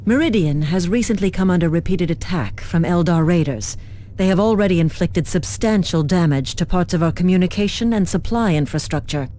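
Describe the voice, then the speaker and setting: An actress playing a formal, coolly official human military liaison officer who gives measured briefings in a video game. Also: female voice, fairly low pitch, lively intonation, dark tone, a little hiss or hum, natural voice. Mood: formal, urgent. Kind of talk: scripted game mission briefing.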